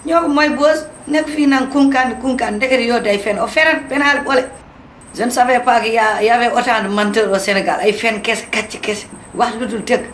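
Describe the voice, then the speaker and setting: An actor voicing an excitable, loudmouthed schemer in a cartoon, with a Senegalese accent and an exclamatory, scolding delivery. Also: mid-range voice, brisk, lightly acted. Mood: scolding, indignant. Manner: exclamatory, scolding, switching between two languages. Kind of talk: animated cartoon voice acting in comedy. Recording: roomy, echoing, with background noise.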